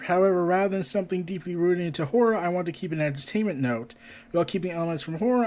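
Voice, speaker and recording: High-voiced man presenting news, noisy recording. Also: a little mellow. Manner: stumbling when reading aloud, with chatty asides. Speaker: podcast host. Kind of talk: casual entertainment and gaming news commentary.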